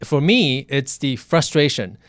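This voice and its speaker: A physician hosts a relaxed online interview and speaks frankly about his own work. His voice is smooth and clear, recorded dry and close. He often restarts his sentences. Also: mid-range voice, dry recording. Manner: chatty, candid, halting restarts. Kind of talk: casual interview over video.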